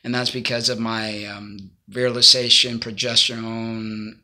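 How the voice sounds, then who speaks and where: A man's voice: on the low side, level tone, a little slow, pushed and bright. A man in his fifties talking casually to camera in his living room, rambling and earnest as he gives advice. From Cuba. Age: 50s. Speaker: vlogger. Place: small home living room, indoors. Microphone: phone or laptop camera at arm's length.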